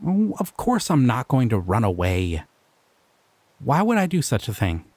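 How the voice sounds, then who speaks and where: Man voicing a shy, lively-voiced slime creature. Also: mid-range voice, measured pace. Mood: gentle, curious. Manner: soft-spoken, hesitant, trailing off. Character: shy gentle male slime creature, lonely and flustered. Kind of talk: audio roleplay.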